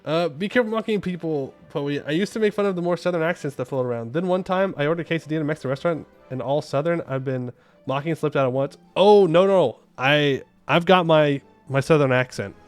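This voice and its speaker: A man's voice: fairly high pitch, fast, a little mellow, soft background music. A man chatting casually on a game livestream, reading and answering viewer comments in a playful, joking way and often repeating his phrases. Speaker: game streamer.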